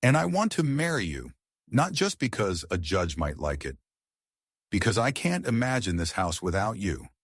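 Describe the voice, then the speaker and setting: An earnest, firm wealthy father, as a male narrator voices him, close to the narrator's own deep register. His delivery rises and falls with feeling. The recording is close and dry. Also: sing-song, moderate pace, no echo. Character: earnest wealthy father, middle-aged man.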